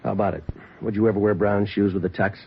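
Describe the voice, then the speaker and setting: An actor in a 1950s radio police procedural playing a dry, relentless detective who questions in a clipped, methodical, deadpan way. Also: male voice, on the low side, fast talker, vocal fry, a little hiss or hum. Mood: cool, deadpan. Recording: dull lo-fi vintage radio recording.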